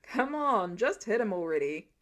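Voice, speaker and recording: A woman's voice: medium pitch, sing-song, normal speed, pitched below the performer's own voice, close to an everyday voice. A woman voicing a cocky male superhero archer who teases and goads sarcastically in a story reading. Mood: impatient heckling. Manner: sarcastic, goading.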